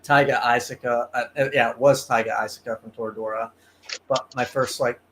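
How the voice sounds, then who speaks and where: A man's voice: medium pitch, fairly even intonation, brisk, fairly bright tone, slight background noise. A man in his early twenties chatting on a podcast, hesitant, full of ums and uhs, a bit self-deprecating. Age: 20s. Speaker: guest cosplayer. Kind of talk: casual podcast chat.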